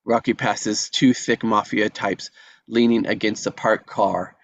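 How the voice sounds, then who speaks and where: A man's voice: fairly low pitch, fairly even intonation, measured pace, slight background noise. A man reading out stage directions as narrator in a scripted table read.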